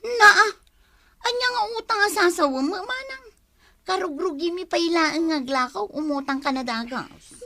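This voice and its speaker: Animated Ilocano woman acting a needling younger sister. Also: very high voice, moderate pace, dry recording, exaggerated voice. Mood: reproachful. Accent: Ilocano, Philippines. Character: plain-spoken younger sister, a fish vendor, reproachful and teasing. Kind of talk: Ilocano comedy audio drama acting.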